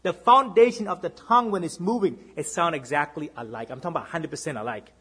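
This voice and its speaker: Husky-voiced Boston preacher. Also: male voice, fairly high pitch, fast. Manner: keeps checking in with listeners, asking "right?"; swings between emphatic lifts and hushed, dropped repeats. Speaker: minister. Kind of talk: sermon to a congregation.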